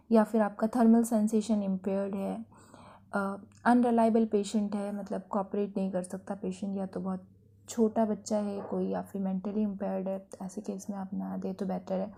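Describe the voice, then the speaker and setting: A woman's voice: medium pitch, vocal fry, mellow. An Indian woman giving an explanatory physiotherapy lecture in an instructive manner, mixing in English technical terms.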